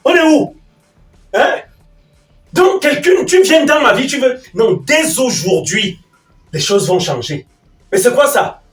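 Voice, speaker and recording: A man's voice: very high-pitched, sing-song, measured pace, pauses often, heavily acted. A man acting as an exasperated, domineering middle-aged father in a heated domestic drama, indignant and commanding, repeating his questions and orders. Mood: angry, commanding. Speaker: actor. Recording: roomy echo, distant mic, music.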